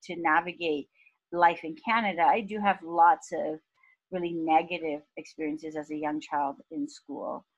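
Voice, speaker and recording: A woman in her fifties, from Pakistan, talking thoughtfully as a podcast guest with soft laughs. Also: sits low, fairly even intonation, normal speed, dull audio. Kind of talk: reflective interview talk.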